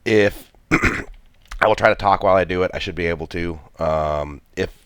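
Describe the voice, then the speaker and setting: A man's voice: sits low, normal speed, fairly bright tone, smooth, no echo, clean studio sound. A man with a stuffy cold, commenting on a strategy game he plays, thinking aloud with many restarts. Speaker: gameplay commentator.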